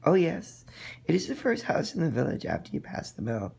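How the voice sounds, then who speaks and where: Brief: a man playing a cheerful trusting little girl, raised and bouncy, with a gravelly husky grain. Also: a little high, huge pitch swings, a little fast, darkish tone, voice pushed up, full character voice. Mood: eager. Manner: chatty, trusting.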